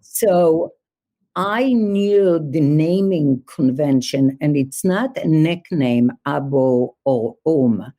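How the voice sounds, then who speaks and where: Low, slow-talking woman born in Poland. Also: expressive, mellow. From born in Poland, grew up in Israel. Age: older. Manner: storytelling, thoughtful, measured. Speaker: guest, security expert. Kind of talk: podcast conversation.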